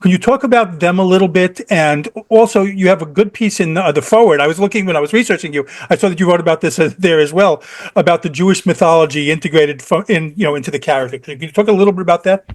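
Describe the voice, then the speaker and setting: An eager man from New York hosts the interview and laughs along often. His voice is high for a man and his questions come out fast. The sound is dry and close. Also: no room sound. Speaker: interviewer host. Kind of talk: casual interview.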